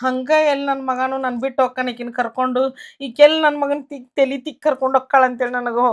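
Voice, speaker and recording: A woman playing a high-voiced, scolding North Karnataka mother-in-law. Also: flat delivery, lightly acted. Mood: remorseful. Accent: North Karnataka Kannada. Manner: scolding, sharp, and quick to blame. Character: jealous possessive mother-in-law, quick-tempered, later remorseful. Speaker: actor. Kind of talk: village family drama acting.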